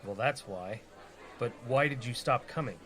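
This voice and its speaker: A man's voice: medium pitch, moderate pace, fairly bright tone, some chatter behind, natural voice. A man playing a young rogue and reluctant party leader in a comedy radio play, casual and persuasive. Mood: curious. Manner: casual, bargaining.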